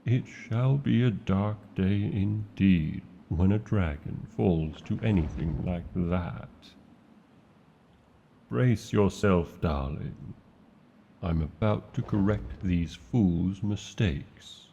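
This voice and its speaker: A man with a British accent voicing a tender, protective male dragon in a fantasy ASMR roleplay, speaking in a slow, formal and doting way full of endearments. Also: deep voice, gravelly, dark tone, muffled recording, slight background noise, full character voice. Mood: watchful. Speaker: voice actor.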